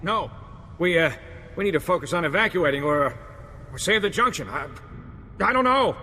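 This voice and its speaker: A man's voice: lively intonation, normal speed, pushed and bright. A man playing a panicky, indecisive junction chief in a game, stammering and urgent. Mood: panicked. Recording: long reverb, rumbling noise.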